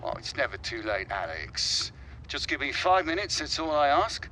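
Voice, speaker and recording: A supportive, middle-aged dad in a cinematic game. His bright, fairly high voice rises and falls freely as he coaxes, with soft music behind. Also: very animated, normal speed, slight background noise, poor audio. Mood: encouraging. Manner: encouraging, coaxing. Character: supportive father of a young footballer. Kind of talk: cinematic video game drama acting.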